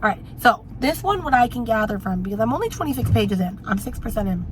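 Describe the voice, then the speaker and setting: Hoarse young woman vlogging from a car. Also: fairly high pitch, sing-song, quick pace, slight background noise, low-quality recording. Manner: chatty, repeats herself, mock-dramatic sighs of sadness. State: hoarse, voice mostly gone. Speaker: book vlogger. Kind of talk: casual vlog chat. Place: in a car on the road.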